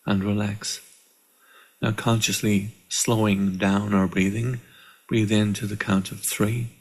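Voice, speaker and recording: A man leading a guided meditation in a calm, measured way, with deliberate pauses between short phrases. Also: on the low side, slight background noise, low-quality recording. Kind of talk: guided meditation, slow and instructional. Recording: live online session.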